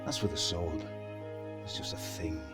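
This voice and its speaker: An actor playing a dead father's spirit in a game cutscene, gently reassuring his son. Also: low-pitched, a little slow, over loud music. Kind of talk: video game cutscene acting.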